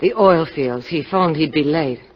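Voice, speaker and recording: Pleading, scheming wife on muffled old radio. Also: female voice, deep voice, measured pace. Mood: soft, pleading. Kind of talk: 1950s radio drama acting. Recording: dull, lo-fi 1950 radio broadcast.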